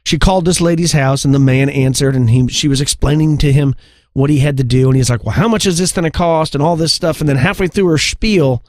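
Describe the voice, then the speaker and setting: A man with a somewhat high voice co-hosts a podcast in a casual, conversational style. He tells stories loosely, as if talking to a friend. The recording is close and dry, with no room sound. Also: fairly quick, darkish tone, no room sound. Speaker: podcast co-host. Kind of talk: casual chat, storytelling.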